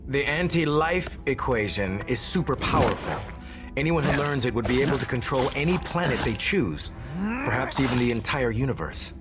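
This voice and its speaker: A man playing an earnest, confident superhero in an animated video game. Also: mid-range voice, normal speed, close to an everyday voice. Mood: grave. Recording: music behind.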